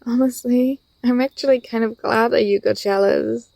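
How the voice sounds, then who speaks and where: A woman acts out a young female student, fond and gently teasing, in an intimate ASMR roleplay. Her voice is soft and fairly high, with plenty of fry at the edges. The sound is dry and close, and her delivery is tender and a little hesitant. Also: steady tone, normal speed, dry recording. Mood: pleased, teasing. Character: affectionate, teasing young female university student confessing feelings.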